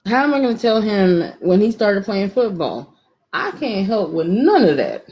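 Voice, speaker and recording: A woman in her thirties calls in on her phone, speaking at a middle pitch. She tells her own story frankly and in quick bursts, and she pokes fun at herself along the way. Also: very animated, darkish tone, poor audio. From Atlanta, Georgia, US. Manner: frank, self-deprecating, talks in quick bursts. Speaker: caller on a live stream. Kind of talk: candid casual storytelling.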